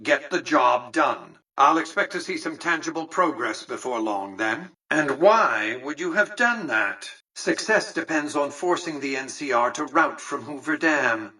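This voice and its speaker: Casting: older tycoon-ruler for a game, condescending and calculating, crisp forceful edge over a husky grain, slightly off-mic. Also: male voice, a little high, animated, unhurried, few pauses, recorded from a distance, full character voice, poor audio. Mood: curt, impatient, then dry, sardonic. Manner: pompous, erudite, precise, dryly sardonic. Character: imperious, calculating tycoon ruler of a casino city, condescending and dry. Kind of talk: video game character dialogue.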